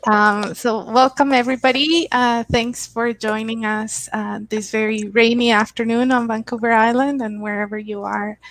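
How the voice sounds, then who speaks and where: A woman moderating a live-stream panel over a video call, talking in a relaxed way with lots of fillers. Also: fairly high pitch, vocal fry. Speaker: executive director hosting the panel.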